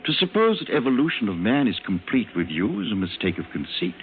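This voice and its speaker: Vintage radio superior doctor type, smug n lecturing, hugely swoopy delivery, tinny sound. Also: male voice, lowish voice, big pitch swings. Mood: lofty lecturing. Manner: lofty, didactic, deliberate. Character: superior evolved man, a calm, condescending, visionary doctor. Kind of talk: old-time radio drama acting. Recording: noisy, narrow-band old radio recording.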